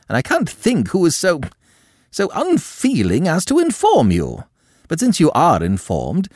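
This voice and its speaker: A man voicing a haughty, prudish aristocratic countess who scolds in a high, shocked voice. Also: a little mellow, no echo, studio quality, full character voice.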